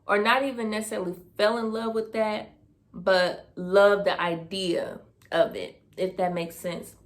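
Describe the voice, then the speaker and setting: A woman, casually answering personal questions on her vlog, thinking aloud with fillers. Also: medium pitch. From Dayton, Ohio, US. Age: 20s. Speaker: vlogger. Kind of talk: casual Q&A tag video.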